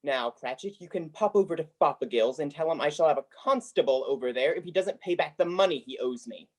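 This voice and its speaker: An actor playing a grim, irritable old miser in a radio play, barking and scornful in manner. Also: male voice, on the high side, animated, brisk. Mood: irritable, commanding.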